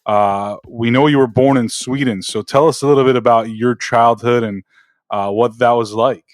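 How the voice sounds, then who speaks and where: A man's voice: mid-range voice, fairly even intonation, moderate pace, no room sound, studio quality. A man co-hosting a podcast, opening the interview casually with frequent "uh"s. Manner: hesitant, frequent "uh"s. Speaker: podcast co-host.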